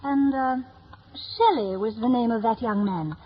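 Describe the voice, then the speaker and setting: A woman's voice: fairly high pitch, a little slow, a little hiss or hum, lightly acted. An actress playing a genteel, dismissive Victorian mother in a 1950s radio play. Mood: prim. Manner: genteel, prim. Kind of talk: 1950s radio drama acting. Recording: dull lo-fi 1950s radio recording.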